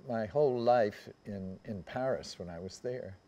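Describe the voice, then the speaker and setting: A man in his seventies reminiscing as a panel guest on a theatre stage, speaking in a halting, tentative way. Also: lowish voice, mellow. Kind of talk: conversational reminiscence.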